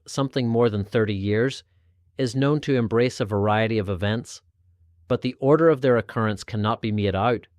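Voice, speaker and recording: A man narrating a scripted historical religious article in a formal, measured, oratorical way. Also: on the low side, a little mellow, no echo, clean studio sound. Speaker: narrator reading an article aloud.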